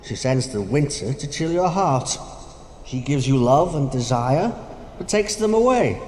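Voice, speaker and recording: An actor playing Death personified as a sly, taunting figure with grandiose, mocking declamation in a stage-like audio drama. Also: male voice, mid-range voice, very animated, a little slow, darkish tone. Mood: coaxing. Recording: echoey hall, faint noise.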